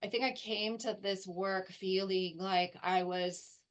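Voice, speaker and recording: A woman's voice: medium pitch, steady tone, slow pace, highs cut off. A woman researcher speaking reflectively on a panel discussion.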